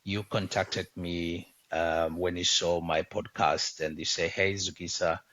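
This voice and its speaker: A man's voice: deep voice, level tone, a little slow, fairly bright tone, no room sound. A man with a South African accent hosting a podcast interview over a video call from home, chatty, with many fillers and restarts. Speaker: podcast host.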